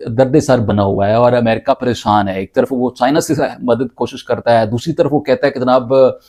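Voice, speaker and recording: A man with a soft, mellow voice at a medium pitch gives off-the-cuff news analysis. He explains step by step, haltingly, often doubling back to repeat a word. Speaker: news commentator. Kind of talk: unscripted news analysis commentary.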